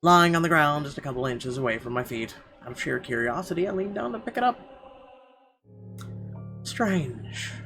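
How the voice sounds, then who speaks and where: A man's voice: mid-range voice, fairly bright tone. A man reading a visual novel's first-person narration aloud as a let's player, with dramatized muttered asides. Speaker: video game let's player. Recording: clearly noisy background.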